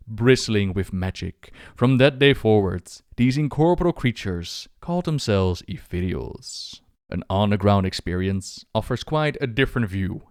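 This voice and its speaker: A man's voice: lowish voice, no room sound, clean studio sound. A man hosting and narrating video game lore as scripted storytelling, with dramatic phrasing.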